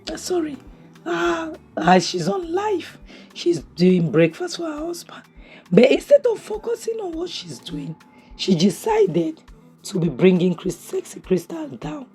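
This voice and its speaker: A woman, hoarse from a cough, chatting through a casual review of a drama episode at home, opinionated and full of restarts. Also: extremely high pitch, warm tone. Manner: chatty and opinionated, many restarts and "you know". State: hoarse from a cough, losing her voice. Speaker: series review vlogger. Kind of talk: casual spoken review recap. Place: home, near a kitchen appliance.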